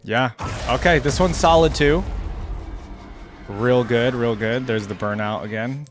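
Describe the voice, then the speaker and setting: A man hosts a reaction video with music clearly audible behind him. His commentary is casual and unscripted, and he often feels out his thoughts aloud at a medium pitch. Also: a little slow.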